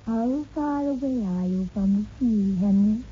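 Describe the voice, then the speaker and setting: A tinny, faintly noisy old radio recording carries an actress as a mysterious, commanding woman. She speaks slowly and insistently, with total control, in vintage horror-serial style. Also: mid-range voice, mellow, natural voice. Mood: coaxing, controlled. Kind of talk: 1960s radio horror drama acting.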